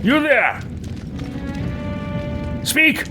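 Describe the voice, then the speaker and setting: A man's voice: very high voice, huge pitch swings, drawn-out words, over music, voice pushed up, exaggerated voice. A man voicing an imperious, destructive fantasy mage in a pompous, theatrical manner for a game role-play. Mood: impatient.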